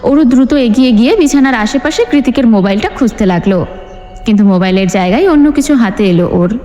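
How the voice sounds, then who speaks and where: A woman's voice: fairly high pitch, lively intonation, quick pace, mellow. A woman narrating a scripted dramatic story as an audiobook reader. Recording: long hall reverb with music bed. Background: music.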